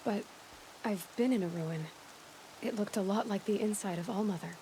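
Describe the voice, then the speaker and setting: Young woman huntress, acted, game dialogue, inquisitive, direct, restrained, determined, mid-pitched, noticeable rain and hiss behind. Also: normal speed, mellow, natural voice, rough recording. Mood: probing, insistent. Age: young adult. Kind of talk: video game dramatic dialogue.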